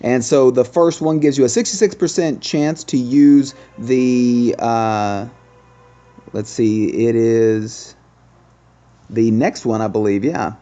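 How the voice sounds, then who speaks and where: A man's voice: medium pitch, slow, warm tone, muffled recording, faint music behind. A man doing casual, explanatory game commentary as the host of a gaming video.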